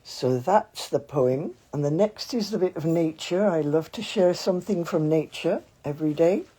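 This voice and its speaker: A woman leading a casual mindfulness livestream from home, talking in a chatty, meandering, gently informal way, with a hoarse voice, recorded on a phone. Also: deep voice, moderate pace. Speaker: mindfulness teacher. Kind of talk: casual livestream with guided breathing. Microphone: phone, handheld at times.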